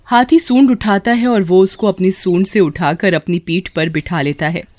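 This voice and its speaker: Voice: medium pitch, moderate pace, a little mellow, dry recording. A narrator reading a scripted children's story in a dramatic storytelling manner.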